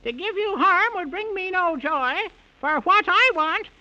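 A wicked old witch speaks in an extremely high, sharp voice. Her delivery is theatrical and spiteful, in the manner of a 1930s children's radio serial. The old recording is dull and narrow. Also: lively intonation, unhurried, exaggerated voice. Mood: menacing. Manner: menacing, theatrical, cackling. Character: wicked, vengeful old witch who threatens in rhyme.

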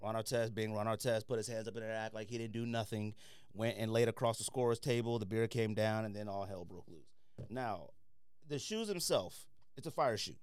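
A man with a smooth, clear voice speaks in a dry, close-sounding space. He hosts a casual review podcast, giving firm opinions in relaxed slang and hammering points by repeating them. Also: fairly low pitch, fairly quick, no echo. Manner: opinionated, slangy, emphatic repetition. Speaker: podcast host. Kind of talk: casual sneaker review chat.

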